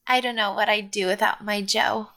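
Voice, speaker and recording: A woman's voice: mid-range voice, steady tone, normal speed, sharp tone. A woman playing a sweet, sincere, slightly dramatic younger teenage sister in a naturalistic audio drama. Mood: affectionate.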